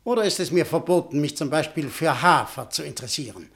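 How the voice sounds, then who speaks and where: A man's voice: fairly high pitch, lively intonation, fast, vocal fry, a bit bright. An actor playing a blunt, arrogant rich male industrialist who talks curtly and means business, in an old German radio play. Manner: curt, businesslike. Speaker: wealthy industrialist.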